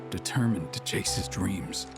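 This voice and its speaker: A man voicing a kindly, wistful middle-aged father in a video game, speaking gently and politely. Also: deep voice, a little slow, gravelly, loud music behind the voice. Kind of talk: English-language video game voice acting.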